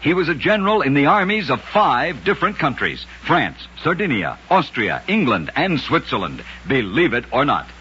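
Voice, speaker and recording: A man narrating scripted strange tales for an old-time radio show, with deliberate, dramatic storytelling delivery. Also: fairly high pitch, sing-song, pushed and bright, a little hiss or hum. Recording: dull old radio recording.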